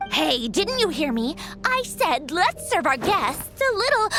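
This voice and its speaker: A woman's voice: sits high, vocal fry, a bit bright, a little hiss or hum, full character voice. A woman playing a haughty, playfully menacing villainess commander in a video game, with a mocking, theatrical, languid manner.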